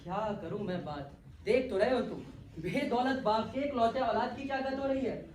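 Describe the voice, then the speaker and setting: A man's voice: very high voice, normal speed. A man playing an indignant young spendthrift son in a comic stage play, haughty and complaining. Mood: exasperated. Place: theatre stage, distant microphone. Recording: echoey, noisy stage recording from far off.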